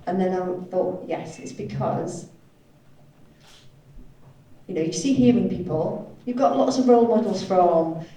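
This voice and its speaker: A woman's voice: fairly low pitch, unhurried, long pauses, mellow. A woman working as a live sign language interpreter, voicing speakers in a panel discussion. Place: echoey event room, indoors. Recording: very echoey hall, distant mic, faint noise.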